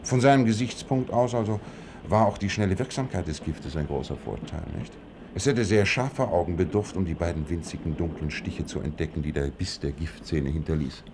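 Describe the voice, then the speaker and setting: A man acting in a radio play as a shrewd, composed detective, laying out deductions in a measured, self-assured way. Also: low voice, background noise. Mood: analytical.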